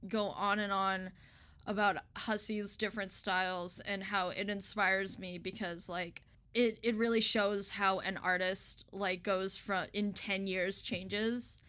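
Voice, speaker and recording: A woman's voice: medium pitch, flat delivery, slow, sharp tone, clear, resonant tone. A woman in a casual online interview, chatting effusively and giggling, with some casual swearing. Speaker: interviewer and artist. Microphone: online voice call.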